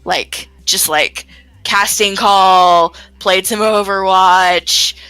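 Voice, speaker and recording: A woman's voice: mid-range voice, steady tone, drawn-out words, sharp tone, smooth, poor audio. A woman chatting casually on a game stream as a developer, rambling and self-deprecating, with casual swearing. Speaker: indie visual novel developer. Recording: music playing behind the voice.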